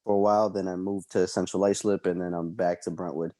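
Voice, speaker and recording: A young man from El Salvador, a fighter being interviewed, answering casually and haltingly with many ums and uhs. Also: deep voice, level tone, warm tone, dry recording, studio quality. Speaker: MMA fighter guest.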